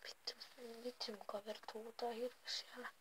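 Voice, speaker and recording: A woman playing a video game and quietly muttering to herself in a half-whisper. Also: on the high side, flat delivery.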